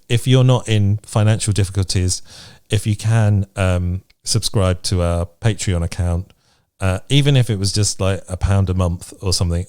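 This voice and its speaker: A man's voice: low voice, a little mellow, dry recording, clean studio sound. A man hosting a podcast, chatting casually in a reflective, encouraging way. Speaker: podcast host. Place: remote video chat recording.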